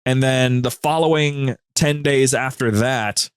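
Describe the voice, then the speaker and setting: Male podcast guest, medium pitch, emphatic and rambling storyteller, conversational, close dry sound. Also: slow pace, smooth, dry recording. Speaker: podcast guest, co-host of a gaming media group. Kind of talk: casual chat, storytelling.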